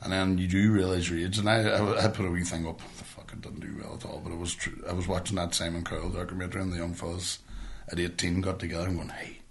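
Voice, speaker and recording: A man's voice: sits low, little pitch movement, fast, a little hiss or hum. A man in his forties with a Northern Irish accent, chatting casually and telling stories in sweary, colloquial talk. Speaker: podcast host. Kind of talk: casual chat.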